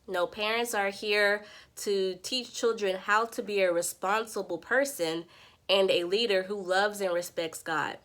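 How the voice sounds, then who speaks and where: A congested, stuffy-nosed woman walks her viewers through scripture in a morning devotional vlog. She teaches in an earnest, preacherly way, urging listeners toward wisdom. Her voice sits at an ordinary pitch for a woman. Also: a little slow, a bit bright. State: congested, nasal from a sinus infection. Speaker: Bible study vlogger. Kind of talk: devotional Bible summary vlog.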